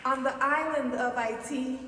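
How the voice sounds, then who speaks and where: A woman from the Dominican Republic giving a commencement keynote, speaking slowly and deliberately with emphatic pauses. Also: high voice, steady tone, very noisy. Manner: slow, deliberate, rhetorical speech with emphatic pauses. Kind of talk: commencement speech. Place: large auditorium stage. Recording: distant, echoey hall with long reverb.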